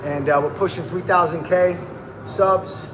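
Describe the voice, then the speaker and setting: A man's voice: sits high, fairly even intonation, a little slow, noisy background. A man hosting a casual livestream, talking in a hyped, slangy way and calling viewers bro and man. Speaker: livestream host. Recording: echoey room with music behind.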